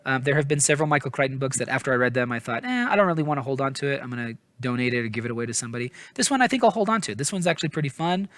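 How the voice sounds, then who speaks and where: A man's voice: medium pitch, lively intonation, very fast, hardly any pauses, fairly bright tone, dry recording. A man giving a casual, rambling book review in an informal, hesitant, self-deprecating way, often stumbling over his words. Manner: informal, hedging, apologetic.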